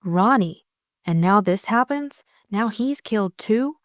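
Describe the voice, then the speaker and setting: A woman's voice: mid-range voice, expressive, unhurried, no room sound, studio quality, close to an everyday voice. A woman voicing an earnest, troubled young lady confiding in someone in an audiobook. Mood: distressed. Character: earnest, troubled young Englishwoman seeking advice. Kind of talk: audiobook character acting.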